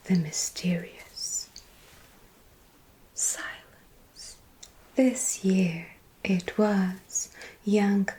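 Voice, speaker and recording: A woman voicing an ageless female vampire who tells scary tales to a mortal in a soft, unvoiced ASMR whisper, slow and drawn out with long pauses. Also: mid-range voice. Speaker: ASMR storyteller. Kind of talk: ASMR roleplay storytelling.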